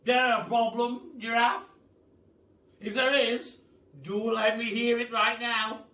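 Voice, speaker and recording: Blustering, loud old Duke. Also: male voice, very high-pitched, fairly even intonation, slow pace, voice pushed up, heavily acted. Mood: bossy. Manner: pompous, emphatic, hesitating with uhs. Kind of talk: children's storybook read aloud. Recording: echoey room, distant mic.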